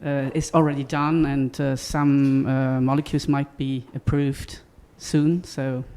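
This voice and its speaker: Male panelist, smooth clear voice, little pitch movement, discussion setting. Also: little pitch movement, measured pace, mellow.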